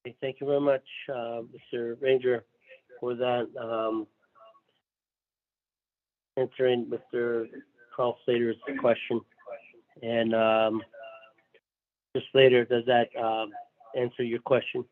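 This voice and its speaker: A man's voice: mid-range voice, level tone, slow pace, long pauses. A man chairing a committee meeting, courteous and formal as he hands over the floor. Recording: tinny phone line, distant.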